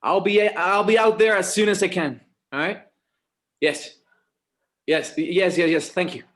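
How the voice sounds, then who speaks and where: A man's voice: sits high, fairly even intonation, measured pace, a bit bright. A man acting as an exasperated but dutiful forty-year-old son, hesitant and weary, in a naturalistic table read. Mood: groggy, concerned.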